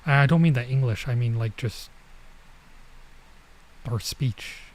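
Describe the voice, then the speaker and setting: Mellow man reading and musing aloud. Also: medium pitch, a little slow. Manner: musing, thinking out loud. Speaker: streamer playing a visual novel. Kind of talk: let's play read-aloud with casual commentary.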